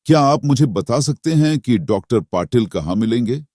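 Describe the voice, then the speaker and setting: A man's voice: medium pitch, expressive, normal speed, warm tone, no echo, studio quality, natural voice. A man voicing a young male hospital attendant who is skeptical and brash, speaking directly. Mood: polite.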